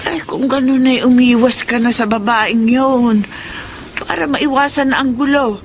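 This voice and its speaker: A woman's voice: high-pitched, fairly even intonation, a little slow, slight background noise. A woman with a Filipino accent playing a fretful, caring older mother of grown sons in a radio drama. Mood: concerned, motherly. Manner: pleading, motherly, concerned.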